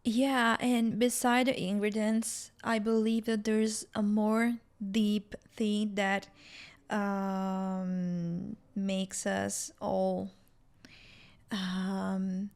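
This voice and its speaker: A woman's voice: medium pitch, little pitch movement, extremely slow, a little mellow, smooth. A young woman from Italy with a strong Italian accent, speaking reflectively as a guest in an interview conversation. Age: young adult. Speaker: podcast guest, student.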